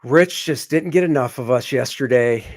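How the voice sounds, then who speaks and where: A man's voice: medium pitch, normal speed. A man co-hosting a casual livestream, rambling and joking with plenty of fillers. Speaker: comic artist co-host.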